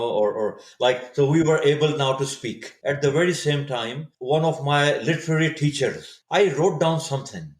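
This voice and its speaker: A man in his fifties from Saudi Arabia, a native Urdu speaker, telling his story as a podcast guest, thoughtful and often pausing to restart his words. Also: a little high. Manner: reflective, searching for words with frequent restarts. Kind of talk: casual interview storytelling. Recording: echoey room sound.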